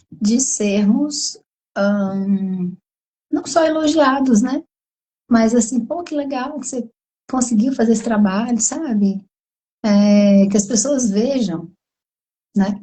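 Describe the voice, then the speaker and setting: A woman psychologist with a Brazilian Portuguese accent, hoarse from a cold, explaining at length in a live online conversation and tagging her sentences with questions. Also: medium pitch, dark tone, rough recording. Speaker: psychologist guest.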